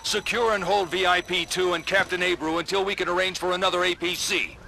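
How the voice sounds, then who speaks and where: Blunt army general over battle noise. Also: male voice, high voice, little pitch movement, a little fast, gravelly. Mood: firm, commanding. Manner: blunt, authoritative military delivery. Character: gruff commanding male army general, impatient and authoritative. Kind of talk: video game military radio briefing.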